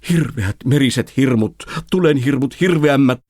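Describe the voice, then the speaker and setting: A man's voice: mid-range voice, a little mellow, no room sound, studio quality, pitched above the performer's own voice, natural voice. A man reciting as a frightened, anguished young son who pleads and laments in verse. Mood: terrified.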